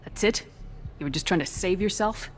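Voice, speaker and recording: Highish-voiced, steady military commander, played by a man. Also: faint music behind, natural voice. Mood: firm. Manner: measured, firm, reasoning. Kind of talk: dramatic video game acting.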